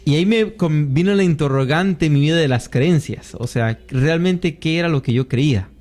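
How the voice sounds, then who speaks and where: A man from Costa Rica, a guest on a faith interview, giving his personal testimony in a reflective way with pauses. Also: on the high side, darkish tone. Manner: reflective, thoughtful storytelling with pauses.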